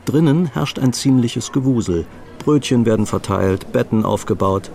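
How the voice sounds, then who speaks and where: A man's voice: medium pitch, moderate pace, vocal fry, mellow, faint music behind, close to an everyday voice. A man reading scripted story narration for a German radio play.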